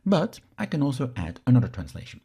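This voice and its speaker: A man from Belgium, a native Dutch speaker, explains each step as an instructor in a software screencast tutorial. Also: mid-range voice, huge pitch swings, fast, slightly rough.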